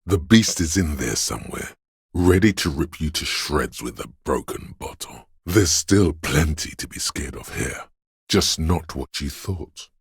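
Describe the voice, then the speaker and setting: A menacing, paranoid inner voice of raw survival instinct from a video game. It is extremely low and badly husky, goading the listener with profane, ominous warnings. The delivery is slow and deliberate. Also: male voice, expressive, dry recording, studio quality, heavily acted. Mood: ominous dread. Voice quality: deep, gravelly, husky rasp. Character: menacing, paranoid primal fight-or-flight instinct speaking inside a detective's head. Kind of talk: dramatic video game voice acting, second-person inner voice.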